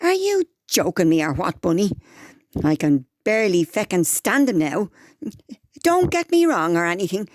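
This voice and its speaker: A woman's voice: on the low side, sing-song, normal speed, no room sound, studio quality. A woman playing a short-tempered, vain, indignant Irish wife with a Dublin accent in a comedy radio drama. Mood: exasperated. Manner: scolding, indignant, mild swearing.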